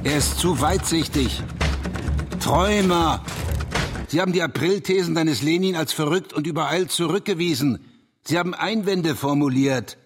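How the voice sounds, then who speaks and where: A scornful prophet of doom, acted by a man with a slightly high male voice over faint music. He declaims ominously and taunts, as a sceptic in a radio play would. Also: moderate pace, close to an everyday voice. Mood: taunting. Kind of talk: radio drama acting.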